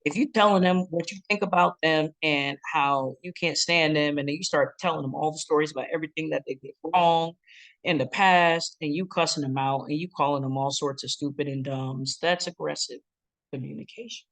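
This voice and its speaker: A relationship educator with a deep, booming chest voice, giving a lively instructional talk. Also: brisk. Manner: lively, breaks into acted quotes and laughs.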